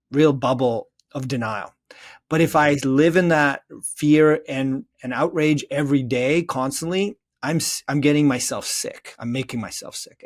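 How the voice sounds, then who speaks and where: A man speaking as an interview guest, reflecting in an earnest, thoughtful and explanatory way. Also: medium pitch. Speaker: interview guest, therapist and author.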